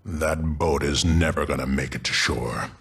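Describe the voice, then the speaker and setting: Very deep menacing gang boss man. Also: normal speed, vocal fry, a bit bright, a little hiss or hum, heavily acted. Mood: seething. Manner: blunt, threatening. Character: big menacing male gang boss, angry. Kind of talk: video game cutscene acting.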